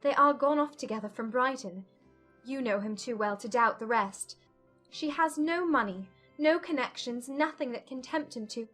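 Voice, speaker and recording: A woman playing an agitated, self-reproaching young gentlewoman in formal dialogue from a dramatized period audiobook. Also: high voice, moderate pace, soft background music. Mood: agitated despair.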